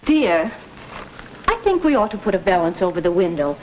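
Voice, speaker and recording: A woman's voice: on the low side, sing-song, moderate pace. An actress playing a polite, articulate woman in a 1940s educational film, on a muffled, slightly noisy soundtrack.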